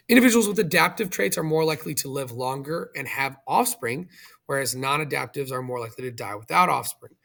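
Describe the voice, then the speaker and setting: A man's voice: on the high side, creaky, a bit bright. A man teaching in an explanatory video lesson, speaking directly to viewers and guiding them step by step. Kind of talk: explanatory video lesson, walking through a review question.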